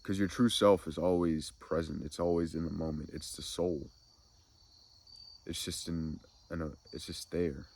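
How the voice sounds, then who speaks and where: A man hosting a soft-spoken spiritual ASMR talk, congested and nasal from a cold, musing slowly and reflectively. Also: flat delivery. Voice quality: deep, gravelly. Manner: slow, meandering reflective musing. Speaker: ASMR spiritual channel host.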